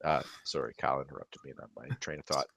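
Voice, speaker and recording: Canadian dude in his twenties, really deep low voice, rambly and loud, talking into a headset at home. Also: fairly even intonation, fast talker, creaky, breathy edge, no echo. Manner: rambling, loud, tipsy storytelling with restarts. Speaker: podcast co-host. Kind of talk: casual chat. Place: small room at home. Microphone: headset on a video call.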